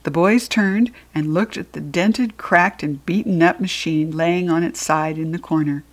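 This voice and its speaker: An American woman reading a children's story aloud in an expressive, playful and informal way, as a narrator. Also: lowish voice, a little slow.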